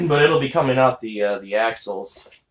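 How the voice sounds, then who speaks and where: A man narrates his hands-on work in a slow, halting mutter, his voice hoarse and wearing out. He sounds casual and low-key, like a vlogger talking mostly to himself. The mic is set well back in a roomy, reverberant space. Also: animated. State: losing voice, hoarse, frequent throat clearing. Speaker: vlogger working on a car repair. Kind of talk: casual narration to camera while working. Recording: roomy echo, distant mic, faint noise.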